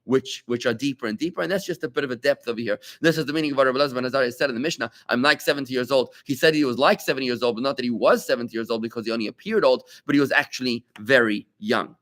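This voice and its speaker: A man teaching a live Talmud class as a rabbi, animated and conversational, with rhetorical questions and asides to his students. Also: mid-range voice, rapid-fire, few pauses.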